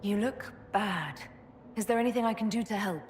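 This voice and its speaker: A woman acting as a resolute, compassionate game heroine, speaking sincerely at a medium pitch. Her voice carries hall reverb with faint noise behind it. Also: normal speed, a little mellow, lightly acted. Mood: concerned. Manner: sincere, reassuring. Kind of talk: dramatic video game cutscene acting.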